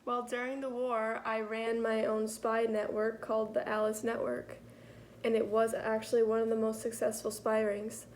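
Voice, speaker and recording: A woman playing a poised, daring female French spy chief in a school role-play interview, recounting calmly and matter-of-factly. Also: fairly high pitch, normal speed, a little hiss or hum, natural voice, rough recording. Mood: composed.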